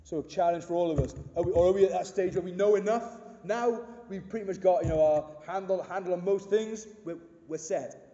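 Man, 30s, pastor, booming voice, earnest emphatic preaching, high-pitched, dull lo-fi sound, roomy echo. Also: brisk, mellow. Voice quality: big booming voice. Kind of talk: sermon. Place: church hall. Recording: dull echoey hall recording.

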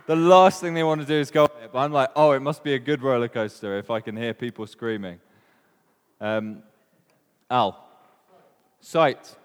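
Chatty Welsh preacher in a reverberant hall. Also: male voice, medium pitch, normal speed, long pauses, smooth. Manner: chatty, asks the room questions, many ums. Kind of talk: informal sermon with questions to the congregation.

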